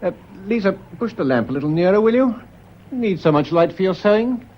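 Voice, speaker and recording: An actor playing a tense, defensive, controlled schoolmaster and widower in a British radio drama, speaking in a clipped, formal way with a British accent. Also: male voice, sits high, lively intonation, brisk, lo-fi sound. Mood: strained, controlling.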